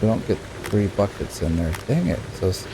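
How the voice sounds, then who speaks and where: A man's voice: on the low side, big pitch swings, fairly quick, noisy background. A man from Utah giving casual, rambling commentary as he plays a video game, muttering at it as he goes. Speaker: gaming YouTuber. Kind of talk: casual let's-play commentary.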